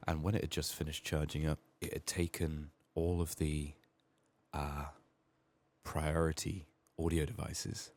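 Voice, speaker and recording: A man with a sick, strained voice murmuring soft-spoken ASMR gaming commentary, sometimes near a whisper, thinking aloud close to the mic. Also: flat delivery, measured pace, dry recording. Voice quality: husky, gravelly, very low. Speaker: game streamer making a playthrough.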